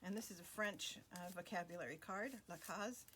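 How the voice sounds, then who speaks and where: Female craft host, casual unhurried narration, slightly low pitch, lots of pauses. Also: slight background noise. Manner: relaxed, many fillers and pauses. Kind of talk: casual flip-through narration.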